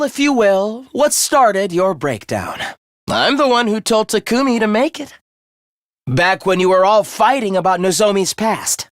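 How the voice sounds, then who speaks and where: High, theatrical anime schoolboy. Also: male voice, fairly bright tone, no room sound, clean studio sound, exaggerated voice. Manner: theatrical, polite, honeyed. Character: young male student, sweetly idealistic, secretly sinister schemer. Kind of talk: anime game voice acting.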